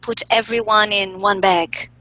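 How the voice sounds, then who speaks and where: A woman's voice: mid-range voice, steady tone, a little slow, sharp tone, dry recording. A woman interviewing guests in English, friendly, often ending her points with tag questions. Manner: friendly, asks questions, ends lines with tag questions. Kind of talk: conversational interview.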